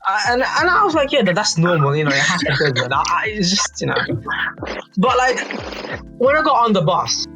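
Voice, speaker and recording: A young British man casually telling an anecdote to friends in animated slang, repeating himself and checking that they follow. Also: high-pitched, normal speed, music in the background, poor audio. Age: young adult. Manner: animated slangy storytelling, repeats himself, seeks agreement with tags. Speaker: youth advisory board member on a podcast.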